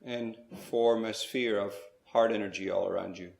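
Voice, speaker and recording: A man with a low voice leads a guided meditation in a very flat, even tone. He speaks in measured short phrases, leaving pauses between them. The sound is roomy, with clear echo around the voice. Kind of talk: slow guided spiritual meditation.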